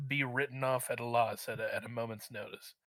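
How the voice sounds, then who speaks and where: A man's voice: medium pitch, fairly even intonation, a little fast, crowd noise, poor audio. A man co-hosting a livestream in casual banter, playfully riffing with mock seriousness. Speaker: guest co-host.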